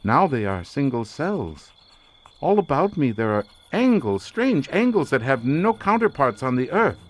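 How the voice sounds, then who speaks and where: An actor playing an obsessed researcher lost in a drugged visionary trance, speaking theatrically and haltingly in an audio horror drama. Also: male voice, medium pitch, sing-song. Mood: eerie wonder. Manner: theatrical, incantatory, halting with repeated words.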